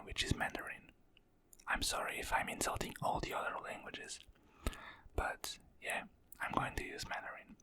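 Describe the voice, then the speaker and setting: A person whispering ASMR-style, repeating phrases softly and apologizing for their pronunciation. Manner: soft whisper, repeats words slowly, apologetic about pronunciation. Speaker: ASMR creator.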